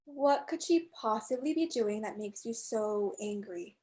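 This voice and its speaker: A woman playing a firm, stern doctor who leads group therapy in a staged play reading, questioning in a measured way. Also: a little high, lively intonation, a little mellow.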